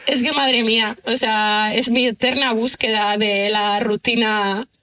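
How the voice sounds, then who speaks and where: A woman's voice: on the high side, steady tone, unhurried, pushed and bright. A woman answers casually as a podcast guest, chatty and self-deprecating, thinking aloud and often checking in with the listener. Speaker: podcast guest, writer and screenwriter. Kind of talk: casual conversational interview answers.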